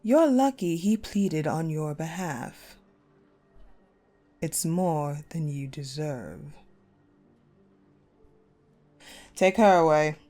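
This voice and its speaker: Woman voicing a cold dominant female captor, deep register, unhurried pace, menacing authority, dark romance audio drama. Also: lively intonation, faint music behind. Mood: grudging, stern. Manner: commanding, menacing, slow and deliberate, dropping to hushed. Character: cold possessive ice-queen general, jealous dominant captor.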